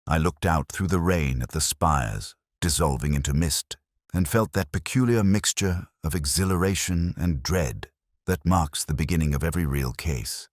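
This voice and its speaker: A man playing a melancholy, reflective police inspector, narrating his case in a measured, literary way like an audio drama. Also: extremely low pitch, dry recording, studio quality. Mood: brooding, reflective. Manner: measured, literary, brooding delivery. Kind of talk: scripted first-person detective audio drama narration.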